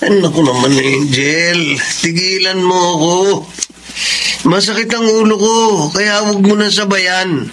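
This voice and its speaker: Mayabang na nobyo, mataas ang boses. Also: male voice, high voice, fairly even intonation, measured pace, a bit bright, slight background noise, low-quality recording. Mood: dismissive, annoyed. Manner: brash, irritable, mocking. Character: selfish, dismissive boyfriend with drinking and drug vices. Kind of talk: Tagalog radio drama acting.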